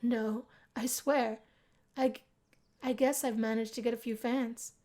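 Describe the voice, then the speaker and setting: A cocky, friendly young street musician from a visual novel, acted out by a woman. He talks casually and coaxes like a smooth operator. He sounds easygoing and sure of himself. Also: a little high, fairly even intonation, a little slow, warm tone, lightly acted. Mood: coaxing, casual. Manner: casual, coaxing, smooth-talking. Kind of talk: visual novel character voicing.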